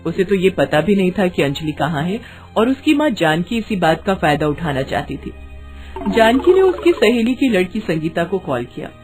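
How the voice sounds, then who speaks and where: Animated woman storyteller. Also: lowish voice, normal speed, over music. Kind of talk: dramatic scripted story narration.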